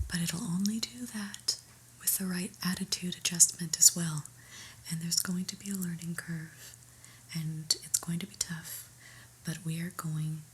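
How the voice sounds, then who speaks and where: A woman's voice: sits low, slight background noise, rough recording. Whispering, unimpressed office boss played by a woman. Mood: firm resolve. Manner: deadpan, hesitant, politely condescending. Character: exasperated, prim new female office boss, dryly disapproving. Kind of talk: whispered ASMR roleplay.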